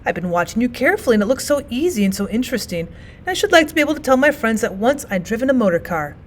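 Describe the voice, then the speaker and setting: A woman's voice: on the high side, big pitch swings, rapid-fire, a little hiss or hum. A woman voicing a sly toad who poses as a humble washerwoman in a storybook, talking in a feeble, wheedling way. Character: sly male toad posing as a meek washerwoman.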